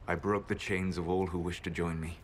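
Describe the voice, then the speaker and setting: An actor playing an ancient, secretive male elf mage who speaks in a measured, grave and regretful way. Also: low voice, little pitch movement, a little hiss or hum, natural voice. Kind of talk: video game voice acting. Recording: game audio with music score.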